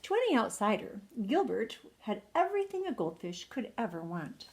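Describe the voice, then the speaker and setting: A woman's voice: a little high, sing-song, normal speed, a little hiss or hum. An older woman, a retired teacher, reading a children's bedtime story expressively, drawing out words for emphasis. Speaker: retired second-grade teacher.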